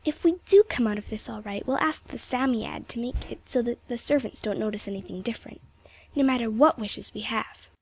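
A woman voicing an anxious young girl who pleads in a breathy voice. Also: on the high side, brisk, slight background noise, voice pushed up, close to an everyday voice.

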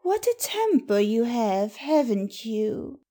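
A man's voice: extremely high pitch, sing-song, slow, dark tone, voice pushed up, full character voice. A man voicing a serene, imperious ancient queen in a storybook reading, with a languid, regal manner.

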